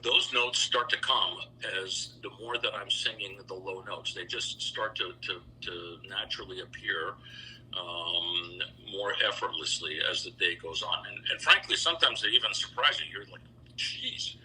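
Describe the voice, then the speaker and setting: A middle-aged man telling stories over a video call, relaxed and rambling with lots of fillers. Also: deep voice, moderate pace, a little hiss or hum, low-quality recording. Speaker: interview guest, founder of a choral institute. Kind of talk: casual storytelling interview.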